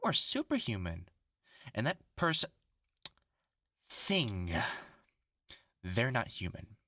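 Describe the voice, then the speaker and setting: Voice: medium pitch, huge pitch swings, slow, pushed and bright, a little hiss or hum, full character voice. An actor in a horror audio roleplay voicing a bitter, grandiose scientist bent on revenge, mocking, theatrical and menacing. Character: vengeful, gloating scientist turned superhuman, bitter and grandiose.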